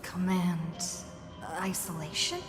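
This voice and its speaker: A lost, guarded game character, speaking slowly in a mid-pitched voice. Her hushed words hang in a long echoing reverb over music. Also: flat delivery. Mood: uncertain. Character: wary, lost woman in a strange place.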